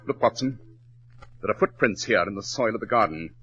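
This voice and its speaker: An actor playing a brisk, superior detective in 1940s radio drama style, with a pompous, clipped, instructive manner. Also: male voice, on the low side, animated, over loud music, natural voice. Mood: superior, deductive. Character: brisk, superior, theatrical male detective, coolly analytical. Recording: dull, lo-fi 1940s radio recording.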